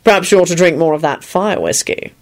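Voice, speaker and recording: A woman's voice: lowish voice, sing-song, brisk. A woman voicing a huge, sonorous government minister in a storybook, stern and solemn with a wry streak. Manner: solemn, wry, bellowing when cross.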